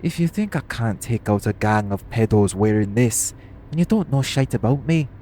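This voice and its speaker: A man's voice: fairly low pitch, expressive, moderate pace, dark tone, close to an everyday voice. A man playing a brash, foul-mouthed, cocky assassin in an audio roleplay. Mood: cocky, teasing. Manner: brash, sweary. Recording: clear vehicle noise behind voice. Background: vehicle ride ambience.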